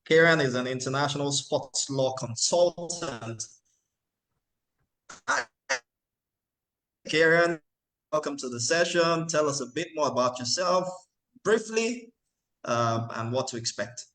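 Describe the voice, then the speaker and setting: A man hosting a webinar panel, introducing speakers in a relaxed, chatty way with fillers and light jokes, heard over a video call. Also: on the high side, a little slow, long pauses, poor audio. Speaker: webinar moderator.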